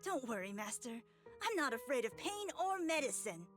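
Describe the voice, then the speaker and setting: Video game role: young kid, high voice, dutiful and brave. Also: female voice, sing-song, normal speed, fairly bright tone. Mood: eager, brave. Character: brave, obedient young child, eager to please. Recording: game music under the voice. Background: game music.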